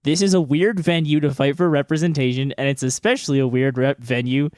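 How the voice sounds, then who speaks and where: A man's voice: medium pitch, fairly even intonation, fairly quick, darkish tone, dry recording, studio quality. A man co-hosting a podcast with casual comedic commentary, ranting in a sarcastic, sweary way. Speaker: podcast co-host.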